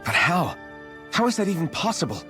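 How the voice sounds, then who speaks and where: A man's voice: sits high, big pitch swings, a little fast, sharp tone, heavily acted. A man playing an earnest, questioning young rebel hero in a video game cutscene. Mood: puzzled. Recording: music behind.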